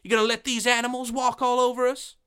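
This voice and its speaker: Bitter, mocking farm woman, very high and bright. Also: flat delivery, fast, smooth. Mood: outraged. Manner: mocking, scornful. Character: angry scornful farm wife.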